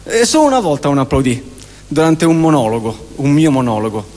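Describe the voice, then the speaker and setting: A man in his forties with a Roman accent performs a theatrical comic monologue, using emphatic repetitions and deliberate pauses. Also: a little high, sing-song, a little mellow. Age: 40s. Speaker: stage performer. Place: live theatre performance in a hall. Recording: long hall reverb of a live venue.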